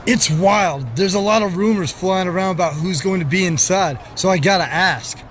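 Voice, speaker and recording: A man's voice: high-pitched, normal speed, fairly bright tone. A man playing a goofy, spacey, laid-back wrestler in a video game cutscene, talking in earnest, slangy dude-speak. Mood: excited, curious. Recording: faint background noise.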